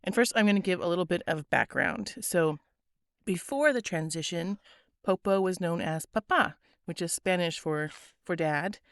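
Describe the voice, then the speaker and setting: Woman, podcast host, raspy hoarse voice, earnest and gentle, lower pitch, dry. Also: moderate pace, no room sound. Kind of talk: scripted podcast intro and interview.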